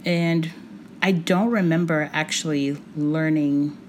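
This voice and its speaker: A woman in her forties, born in Puerto Rico with Spanish as her first language, talks as a guest in a podcast interview. Her voice sits low, and she pauses often with thoughtful ums and soft laughs. A faint hum of room noise sits behind her. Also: steady tone. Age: 40s.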